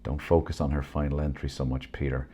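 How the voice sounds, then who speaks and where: A calm counselor gently insists on better habits, voiced by a man. The voice is very deep and dark. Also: brisk, breathy edge. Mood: gentle.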